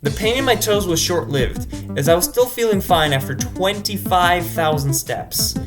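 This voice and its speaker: A man narrating his vlog in scripted voice-over, wry and self-deprecating. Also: on the high side. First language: English. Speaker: vlogger narrator. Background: background music.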